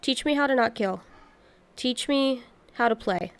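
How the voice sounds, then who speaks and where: A woman voicing a gentle, sorrowful monster musician from a video game, pleading earnestly and haltingly. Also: a little high.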